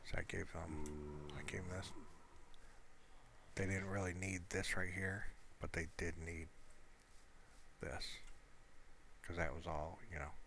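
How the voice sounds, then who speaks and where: A man's voice: sits low, unhurried, creaky, long pauses. A man giving casual, unscripted gameplay commentary, rambling and hesitant as he thinks aloud. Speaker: amateur gaming video creator. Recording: steady background noise.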